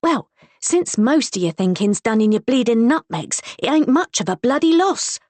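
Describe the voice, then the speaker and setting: A coarse, scolding wife, as a woman narrator puts her on, her voice raised well above the narrator's usual low, husky pitch. She snaps and swears with force, recorded close in a dry room. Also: big pitch swings, moderate pace, dry recording. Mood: angry. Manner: sharp-tongued, swearing, scolding. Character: fierce coarse working-class young wife.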